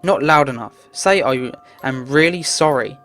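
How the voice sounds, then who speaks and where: A woman's voice: very deep voice, unhurried, fairly bright tone. A woman voicing a hot-headed, brusque young story protagonist who scolds and orders others about. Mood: commanding. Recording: music behind the voice.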